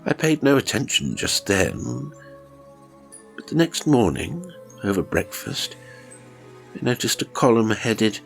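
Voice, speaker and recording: A man's voice: mid-range voice, sing-song, slow, vocal fry, pauses often. A man reading a first-person horror story as scripted narration, dramatic and suspenseful in his delivery. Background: music.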